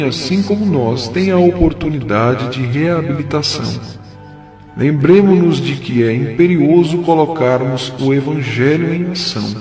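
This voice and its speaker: A man playing a kindly spirit mentor in an audio drama, preaching in a measured, earnest and solemn way. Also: medium pitch. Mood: earnest, compassionate. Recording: music behind the voice. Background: music.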